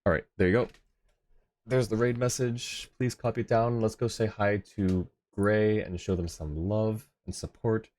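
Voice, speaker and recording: A man's voice: lowish voice, steady tone, normal speed, a little mellow. A man streaming and chatting casually with viewers, laughing often in an easygoing, rambling way.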